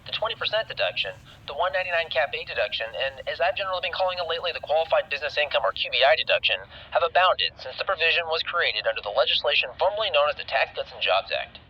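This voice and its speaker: Brief: animated, fast male narrator. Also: fairly high pitch, lo-fi sound, slight background noise. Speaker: narrator and presenter. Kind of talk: scripted explainer narration.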